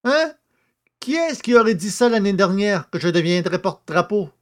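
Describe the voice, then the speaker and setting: A man playing a naive, self-pitying poacher in prison, easily flattered and grandiose, in a theatrical, exclamatory audio drama style. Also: sits high. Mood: proud, excited. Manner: plaintive, exclamatory, drawn-out words. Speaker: actor in an amateur audio drama.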